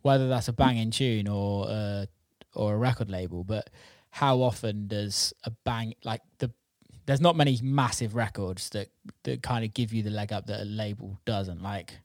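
A man's voice: on the low side, moderate pace, no echo, clean studio sound. A man hosting a podcast, talking in a casual, rambling, informal way about his line of work. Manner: informal, rambling, occasional swearing. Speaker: podcast host and music producer who runs a record label. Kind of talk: casual podcast chat about the music scene.

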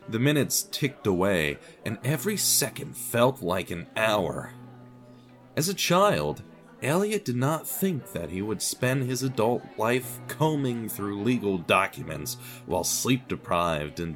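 Low-voiced man narrating with a lively range. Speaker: narrator of an audio fiction podcast. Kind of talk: scripted dramatic storytelling narration.

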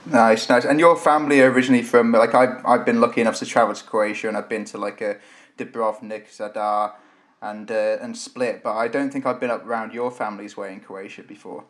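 A man's voice: fairly low pitch, steady tone, fairly quick, fairly bright tone. A man co-hosting a podcast, chatting in an enthusiastic, rambling way. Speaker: podcast co-host. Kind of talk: casual podcast chat.

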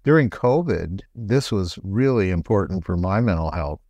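A middle-aged man who is a doctor talks through health questions in a relaxed, explanatory way. His voice sits on the low side. It is recorded close and dry. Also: normal speed, no room sound, highs cut off. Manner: explanatory, relaxed, laughs lightly. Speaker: doctor and show host. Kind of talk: casual health talk.

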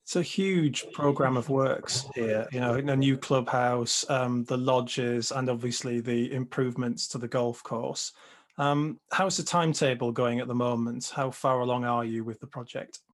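A man's voice: medium pitch, steady tone, normal speed. A man interviewing a golf manager, asking long, musing questions with some hesitations.

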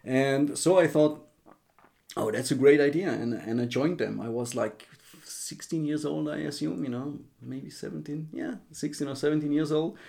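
A man's voice: a little high, moderate pace, gravelly, dark tone. A man from western Austria, a native German speaker, telling his story as an interview guest, hesitant and searching for words. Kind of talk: casual interview chat.

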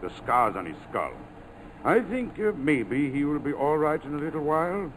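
An actor playing an easygoing former doctor turned riverboat captain in a 1940s radio drama, with a hesitant manner. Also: male voice, a little high, sing-song, normal speed, a bit bright. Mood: mild, explaining. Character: older French riverboat captain, once a doctor. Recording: noisy 1940s radio broadcast.

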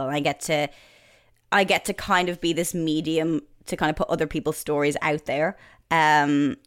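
A woman's voice: deep voice, smooth. A woman in her thirties with an Irish accent, chatting casually as an interview guest, with lots of fillers and restarts. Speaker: presenter and author, guest.

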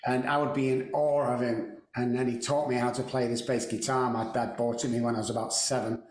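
A man's voice: mid-range voice, flat delivery, brisk, a little mellow, slight background noise. Mancunian man telling stories, echoey room. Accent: Mancunian English. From England. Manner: chatty, joking, self-deprecating. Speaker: podcast guest. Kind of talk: casual storytelling interview.

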